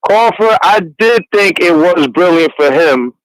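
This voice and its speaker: A young man from New York City rambles through a phone call, restarting his sentences and laughing easily. His voice sits fairly high. The sound is dry, with little room around it. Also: expressive, measured pace, fairly bright tone, no echo. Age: 20s. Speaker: caller. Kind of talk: casual call-in chat.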